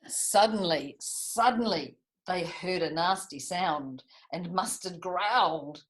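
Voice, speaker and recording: A woman reading picture books aloud to young children in an expressive way, with chatty asides and little tag questions. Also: on the low side, takes it slowly, husky. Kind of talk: animated picture-book read-aloud with asides to young listeners.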